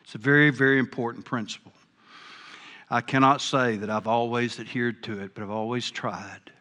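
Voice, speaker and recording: A man's voice: medium pitch, fairly even intonation, normal speed, bright, forceful tone. An older man preaching a sermon, earnest and folksy, with a big voice. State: a bit hard of hearing. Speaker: pastor.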